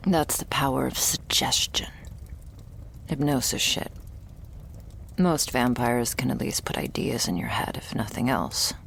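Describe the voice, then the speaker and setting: Woman acting a slow, creaky, sardonic vampire's servant. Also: exaggerated voice. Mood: hushed, explaining. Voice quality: low, soft voice often dropping into whisper. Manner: dry, hesitant, foul-mouthed, sighing delivery. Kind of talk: ASMR roleplay acting, intimate and conversational. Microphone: close ASMR microphone.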